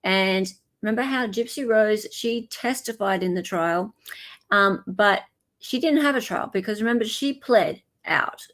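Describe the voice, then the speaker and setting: An Australian woman hosting a livestream, talking in a casual, chatty way and commenting informally to her viewers. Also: medium pitch. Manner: chatty, informal, greets viewers mid-talk. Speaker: livestream host.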